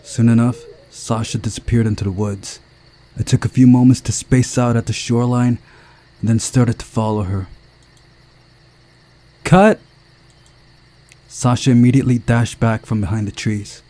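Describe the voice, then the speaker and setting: A man reading a visual novel aloud as a narrated story, giving the characters voices, in a relaxed, unhurried way. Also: medium pitch.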